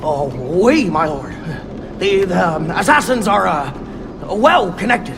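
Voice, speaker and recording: A man's voice: high-pitched, very animated, slow pace, noisy background, exaggerated voice. An actor playing a nervous, servile henchman in a game cutscene, pleading and stammering under pressure. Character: cowardly, nervous male henchman, servile and panicky. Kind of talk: video game cutscene acting. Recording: music behind the voice.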